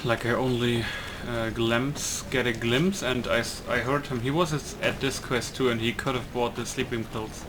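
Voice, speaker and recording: A man with a medium-pitched voice plays a game and comments casually as he goes. He sounds hesitant, often muttering to himself, with clearly noisy game ambience behind him. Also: steady tone, normal speed, hardly any pauses. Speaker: gamer, let's player.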